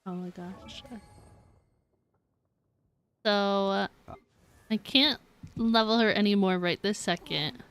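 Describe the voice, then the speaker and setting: A woman's voice: medium pitch, fairly even intonation, takes it slowly, pauses often, a bit bright. A woman chatting casually and playfully on a gaming livestream, giggly and given to exclamations. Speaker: game live streamer.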